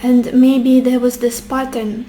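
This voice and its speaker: A woman, a little sick and losing her voice, giving a gentle tarot reading to her viewers and musing aloud with soft hesitations. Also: a little high, flat delivery, takes it slowly, a little hiss or hum. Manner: gentle, addresses viewers warmly as beautiful souls. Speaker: tarot reader.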